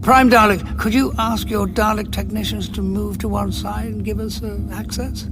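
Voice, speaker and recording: A man's voice: high voice, fairly even intonation, moderate pace, noisy background, close to an everyday voice. An actor playing a wily time-travelling adventurer in a sci-fi audio drama, bluffing his enemies with breezy, polite, slightly hesitant patter. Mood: wry, confident. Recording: music bed behind voice.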